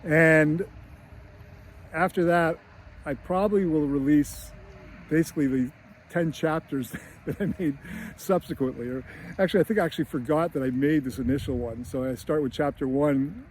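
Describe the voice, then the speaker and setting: A man's voice: on the high side, normal speed. Middle-aged Boston man, casual vlogger, rambling and chatty, faint street noise behind. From United States. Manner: chatty and rambling, with laughs and restarts. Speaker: screenwriter and teacher. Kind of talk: casual vlog to camera. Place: outdoors near a beach.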